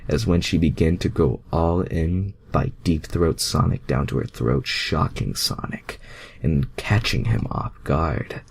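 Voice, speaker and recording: A man's voice: extremely low pitch, vocal fry, breathy edge. A man reading fan fiction aloud as an amateur narrator, with sweary, self-mocking, exasperated asides.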